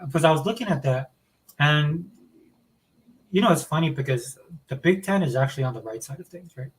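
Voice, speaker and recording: A man's voice: fairly high pitch, lively intonation, fairly quick, slight background noise, rough recording. A man raised in the US South, originally from Hawaii, co-hosting a casual livestream chat, rambling and opinionated with frequent restarts. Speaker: co-host of a gaming podcast.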